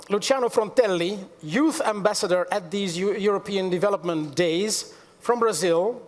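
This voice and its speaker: A middle-aged man moderating a conference panel, formal with quick self-corrections and light asides. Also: high voice, big-room sound. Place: large conference auditorium.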